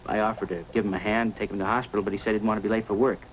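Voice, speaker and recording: A man's voice: on the low side, fairly even intonation, rapid-fire, close to an everyday voice, low-quality recording. A man playing a friendly, talkative fish hobbyist and eager witness in a 1950s radio drama. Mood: chatty, earnest. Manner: talkative, folksy, hesitant asides. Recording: old radio recording with background noise.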